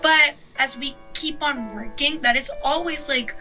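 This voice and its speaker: A woman's voice: high voice, measured pace, sharp tone. A woman in her twenties, a drummer speaking in a documentary interview in a chatty way, full of "like". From Mexico. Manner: chatty, many "like" fillers. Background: background music.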